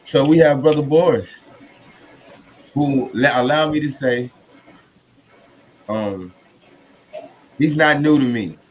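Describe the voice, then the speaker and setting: A man hosting a podcast, speaking in a halting, courteous and reverent conversational way. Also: mid-range voice, poor audio. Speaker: podcast host. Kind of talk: conversational podcast introduction and discussion. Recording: noticeable background noise.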